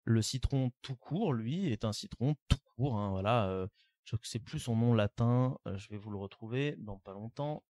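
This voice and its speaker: A man hosting a relaxed podcast quiz, with a warm, mellow voice recorded close and dry. He talks easily, loading his explanations with fillers and little apologetic asides. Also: mid-range voice, moderate pace, dry recording. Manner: chatty, explains with many fillers and self-deprecating asides. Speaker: podcast host running a quiz. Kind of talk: casual chat.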